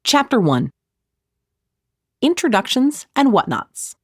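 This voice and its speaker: A middle-aged woman reading her own audiobook in a conversational, snarky way, with playful deadpan asides. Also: a little high, slow, husky, dry recording, clean studio sound. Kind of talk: scripted audiobook narration, conversational.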